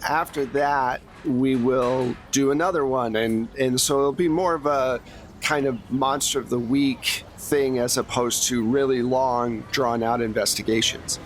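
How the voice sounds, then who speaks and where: Man hosting casually, over background noise. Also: medium pitch. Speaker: game master and podcast host. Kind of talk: casual wrap-up chat with players.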